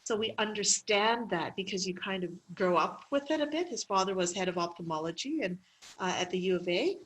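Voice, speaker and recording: A middle-aged woman from Canada, a medical clown being interviewed, telling stories in a lively way with laughs and fillers. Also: fairly low pitch, rough recording. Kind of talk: interview conversation.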